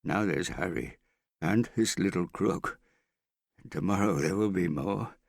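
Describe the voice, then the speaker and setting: A man's voice: low-pitched, unhurried, gravelly, no echo, clean studio sound, voice pushed up, heavily acted. A man voicing a paranoid, frightened, hard-drinking man in hiding, agitated and pleading, in an audiobook. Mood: secretive, frightened. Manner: agitated, suspicious, pleading, prone to shouting. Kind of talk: audiobook character acting.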